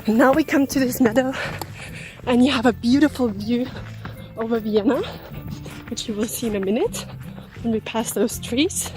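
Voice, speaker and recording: Woman, Austrian accent, slightly high voice, chatty and halting, running outdoors, faint music. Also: rough recording. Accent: Austrian German. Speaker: runner guiding a trail tour. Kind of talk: casual walk-and-talk to camera. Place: outdoors, forest trails and park.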